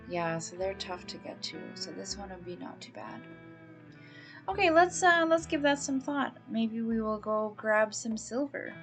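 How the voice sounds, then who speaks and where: A middle-aged woman from Canada, a native English speaker, casually thinking aloud as she plays a game and narrates it. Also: mid-range voice. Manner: chatty, thinks aloud, coos at baby animals. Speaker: let's play host. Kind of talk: casual gameplay commentary.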